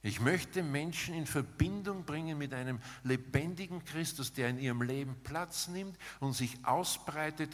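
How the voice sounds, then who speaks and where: A male preacher delivers a sermon at a slow, deliberate pace with a medium-pitched voice. He speaks emphatically and rhetorically, breaking his thoughts into short, weighted phrases. His words ring out with long reverb in a large hall. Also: a bit bright. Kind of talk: sermon with dramatized paraphrase, closing in prayer.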